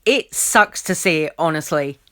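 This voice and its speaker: Female commentary host, lowish smooth voice, emphatic and conversational. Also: lively intonation, normal speed, sharp tone. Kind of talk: opinionated commentary to camera.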